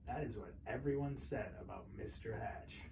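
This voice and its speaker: A man reading a children's picture book aloud as a storyteller, expressively, with pauses and emphasis. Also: lowish voice, creaky, noisy background.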